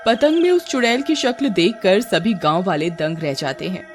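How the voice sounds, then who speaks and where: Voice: mid-range voice, very animated, normal speed. A narrator telling a scripted horror story in the third person. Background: music.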